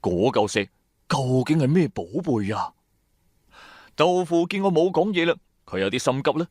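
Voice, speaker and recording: A man's voice: mid-range voice, expressive, moderate pace, darkish tone, no room sound, studio quality, lightly acted. A man playing a shrewd, wry trader who narrates his own adventures in colloquial, sardonic radio-drama style. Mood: musing, storytelling. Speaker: narrator and lead character.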